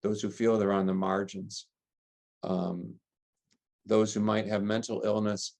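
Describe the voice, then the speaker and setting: An American man, a middle-aged priest, giving earnest, gentle interview answers and listing ideas in long chains. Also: fairly low pitch, level tone, a little slow, gravelly. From United States. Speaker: priest and rector, interview guest.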